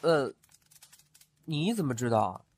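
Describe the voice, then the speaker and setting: A man's voice: mid-range voice, very animated, takes it slowly, a little mellow, slight background noise. A man playing a talkative, curious young antique-shop owner who often muses aloud to himself. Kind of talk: fan audio drama acting.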